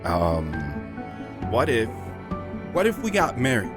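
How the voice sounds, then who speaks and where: An actor playing a hesitant, lovestruck high-school senior in a romantic audio drama. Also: male voice, wildly swooping pitch, slow, over music.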